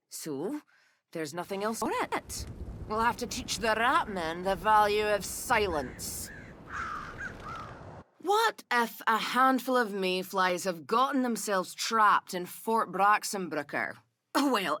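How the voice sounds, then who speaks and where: A haughty elf ranger, female, sardonic, disdainful, lively pitch, game voiceline. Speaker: voice actor. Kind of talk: video game character voicelines.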